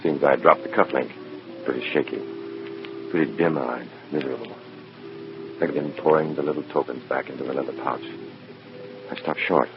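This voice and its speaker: A very deep, weary undercover agent. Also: male voice, fairly even intonation, normal speed, pauses often. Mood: narrating grimly. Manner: brooding, clipped, hard-boiled delivery. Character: weary, conscience-torn American undercover agent posing as a communist. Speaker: narrator and lead actor. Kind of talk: mid-century radio drama acting, first-person narration. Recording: muffled old radio recording with music.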